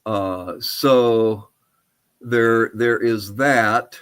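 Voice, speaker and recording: An older man answering interview questions as a historian, speaking in a halting, thoughtful, tentative way. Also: lowish voice, fairly even intonation, fairly bright tone, clear, resonant tone. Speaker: archaeologist and historian guest. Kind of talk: interview answers about research.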